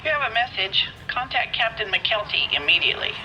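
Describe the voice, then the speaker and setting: A woman playing a brisk, polite 1940s police switchboard operator in a video game, formal and heard through a telephone filter. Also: a little high, big pitch swings, pushed and bright, full character voice. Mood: businesslike. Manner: efficient, formal. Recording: noisy telephone line.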